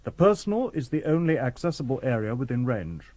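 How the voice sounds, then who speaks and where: An actor playing a calm, logical, polite humanlike robot in a radio drama, speaking formally and precisely without getting ruffled. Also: male voice, mid-range voice, fairly quick, dry recording, close to an everyday voice. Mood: calm, factual.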